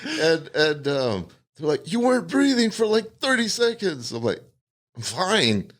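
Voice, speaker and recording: A man's voice: on the high side, measured pace. A man guest who sounds congested, telling stories in a casual chat and laughing often. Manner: laughs a lot, animated storytelling. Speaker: guest, cousin.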